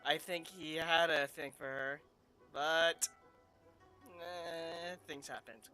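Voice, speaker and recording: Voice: medium pitch, fairly even intonation, takes it slowly, sharp tone. A gamer giving casual commentary over a story quest playthrough. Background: game music.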